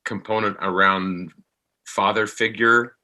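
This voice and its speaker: A middle-aged man, an actor talking as an interview guest, reflective and halting as he searches for words. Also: fairly low pitch, steady tone, bright, forceful tone, rough recording.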